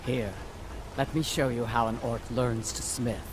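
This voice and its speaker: Man voicing a gruff orc smith, noisy background. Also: medium pitch, fairly even intonation, unhurried, close to an everyday voice. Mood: gruff, dismissive. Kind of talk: fantasy video game dialogue.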